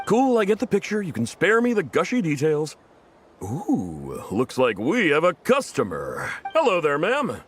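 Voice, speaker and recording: Laid-back young game vendor, lightish pitch, very animated, faint ambience behind. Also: male voice, a little slow, a little hiss or hum. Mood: casual. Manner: casual, breezy. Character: friendly, laid-back young male festival candy vendor. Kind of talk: video game voice acting.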